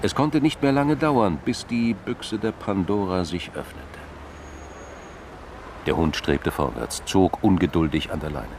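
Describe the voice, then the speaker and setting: A man's voice: low-pitched, animated, measured pace, slight background noise. A man reading scripted narration in a crime radio play.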